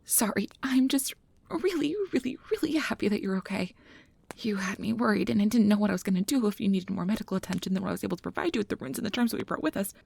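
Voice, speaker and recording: A woman playing a nervous, caring young magic researcher in an ASMR audio drama, rambling in a flustered, halting, apologetic way. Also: medium pitch, vocal fry, darkish tone, slightly rough, dry recording.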